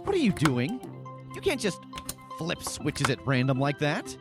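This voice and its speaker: An actor playing a pompous, suspicious and nervous scientist in a comedy audio drama. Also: male voice, fairly high pitch, wildly swooping pitch, a little slow, over music, exaggerated voice. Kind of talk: comedy radio play acting.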